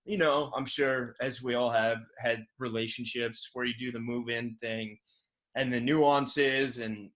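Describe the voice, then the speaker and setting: A man hosting a casual interview, gushing and enthusiastic, with lots of "like" and quick praise. His voice sits at a middle pitch. He sounds like he is talking from home in a city apartment. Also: fairly even intonation, a bit bright. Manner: enthusiastic, effusive praise, many fillers like "like". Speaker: podcast host.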